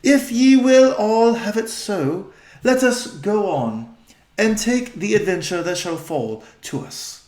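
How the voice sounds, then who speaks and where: A cautious, gentle queen from a storybook, put on by a man reading aloud. She speaks in measured, old-fashioned phrases at a raised pitch. The reading has a roomy echo. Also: animated. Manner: formal, measured speech.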